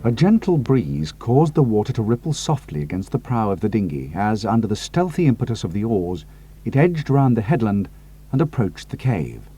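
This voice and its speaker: A man reading an adventure audiobook in a measured, expressive way. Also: lowish voice, a little hiss or hum. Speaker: audiobook narrator. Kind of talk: scripted dramatic narration.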